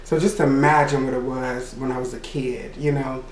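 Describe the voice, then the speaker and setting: A man with a high voice telling a personal story in a casual, rambling way, full of "you know" and quick laughs. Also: level tone, echoey room, recorded from a distance, slight background noise, poor audio. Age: adult. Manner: laughs between remarks. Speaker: vlogger.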